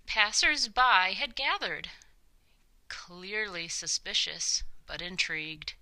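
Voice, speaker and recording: A woman narrating a children's story from a script, with dramatic, deliberate phrasing. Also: medium pitch, animated, pushed and bright.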